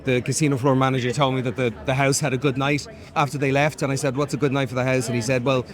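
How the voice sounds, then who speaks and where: A man with an ordinary mid-pitched voice talks loosely and candidly. He swears and laughs easily as he tells stories. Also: level tone, quick pace. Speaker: actor. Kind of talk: premiere interview.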